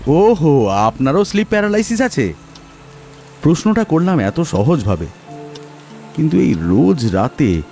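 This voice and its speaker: A man's voice: mid-range voice, dark tone. A man narrating a scripted horror story dramatically in the first person. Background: music, rain.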